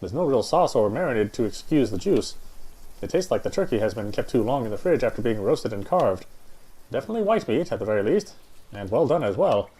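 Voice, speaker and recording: A man's voice: mid-range voice, sing-song, a little fast, dark tone, slightly rough, low-quality recording. A man reading first-person visual novel narration aloud.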